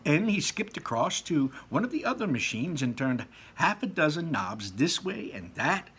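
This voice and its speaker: A man's voice: on the high side, sing-song, moderate pace, fairly bright tone, slightly rough. A man reading a children's book aloud as a storyteller, with dramatic emphasis on descriptive words and casual asides.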